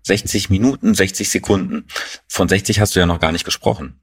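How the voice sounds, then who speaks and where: Ein Mann aus Berlin, der als Co-Moderator im lockeren Podcastgespräch nachfragt und seinen Kollegen trocken neckt. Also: male voice, sits low, brisk, slightly rough. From Berlin, Germany. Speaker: podcast co-host. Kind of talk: casual conversational chat.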